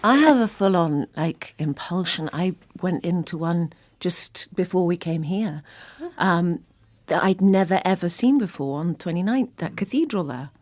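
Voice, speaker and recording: A woman's voice: fairly low pitch, animated, unhurried, hardly any pauses, darkish tone, no echo. A woman speaking casually and conversationally as a guest in an interview.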